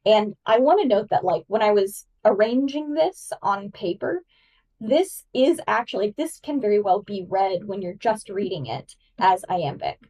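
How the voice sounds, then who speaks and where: Excitable woman nerding out, slightly distant mic. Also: medium pitch, moderate pace. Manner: excitable, nerdy, emphatic, casual swearing. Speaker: guest analyst on a discussion podcast. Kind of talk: enthusiastic literary analysis in casual chat.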